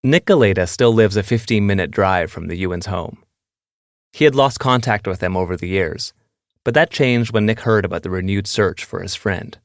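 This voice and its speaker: A man hosting an investigative podcast, calmly narrating a scripted documentary story. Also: on the low side, normal speed, no room sound, studio quality. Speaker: podcast host and investigative reporter.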